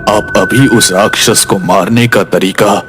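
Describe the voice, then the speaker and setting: A man playing a grave Aghori mystic who makes ominous, deliberate pronouncements of doom in an animated storybook tale. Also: lowish voice, over music. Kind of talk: Hindi animated storybook acting.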